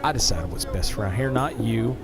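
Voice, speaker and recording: A man's voice: lowish voice, animated, a little fast, vocal fry. An actor playing a folksy, genial carnival owner in an audio drama, talking in a salesman's patter. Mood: firm, indignant. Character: folksy, smooth-talking carnival owner, a much-married man. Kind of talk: scripted audio drama acting. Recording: music behind the voice.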